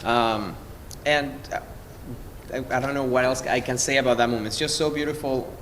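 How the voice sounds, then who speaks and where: A man's voice: mid-range voice, a bit bright. Man in a noisy, reverberant hall. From Venezuela. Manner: effusive, halting, searching for words. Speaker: cellist in a string quartet. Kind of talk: informal spoken introduction to music.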